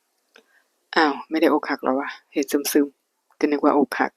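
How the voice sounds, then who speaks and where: A woman voicing a blunt, teasing young male police officer in a soft, husky whisper. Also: a little high, flat delivery, a little slow, no room sound, full character voice, low-quality recording. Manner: soft whispered delivery, crude banter.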